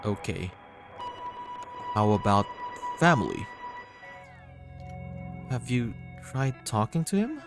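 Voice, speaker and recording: A woman reads visual novel dialogue in a very low register. Her delivery is slow and swings widely in pitch as she moves between characters. Music plays under the voice. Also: big pitch swings. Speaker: game reader doing all voices. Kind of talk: dramatic read-through of visual novel dialogue.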